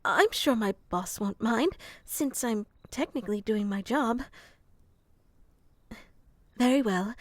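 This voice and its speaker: A woman voicing a gentle, slightly scatterbrained female moth creature in a sleep roleplay. She speaks softly and slowly into a close microphone and sometimes drops to a whisper. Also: a little high, lively intonation, no room sound. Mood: whispered, tender. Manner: soft-spoken, slow and musing, slipping into whispers. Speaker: ASMR performer.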